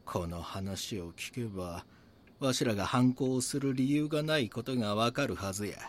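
An actor with a Kansai accent playing a brazen, scheming small-time swindler in a voice drama, talking in a rough, conspiratorial, boastful way. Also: male voice, mid-range voice, normal speed, a little hiss or hum, lightly acted. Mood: secretive. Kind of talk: voice drama acting, true-crime reenactment.

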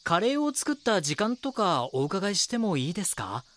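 An actor playing a young magazine reporter in an audio drama, polite, earnest and persistent. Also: male voice, sits high, big pitch swings, normal speed, a bit bright. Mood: polite, probing. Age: young adult. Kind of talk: audio drama acting with inner monologue.

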